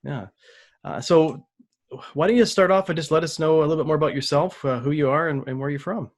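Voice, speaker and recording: A man hosting a podcast interview, friendly and a bit halting, often repeating his words. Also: fairly high pitch. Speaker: podcast host.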